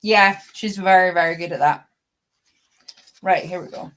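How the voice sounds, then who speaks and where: A woman's voice: lowish voice, normal speed, a bit bright. A woman with a Scottish accent chatting casually and fannishly about books as host of a live readalong, with the odd swear word. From Scotland. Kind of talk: casual book chat.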